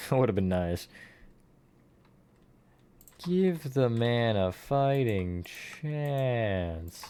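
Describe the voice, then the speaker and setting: A man's voice: medium pitch, sing-song, drawn-out words, pauses often, warm tone, smooth. A man streamer chatting casually with his viewers, counting blocks and answering chat while he builds.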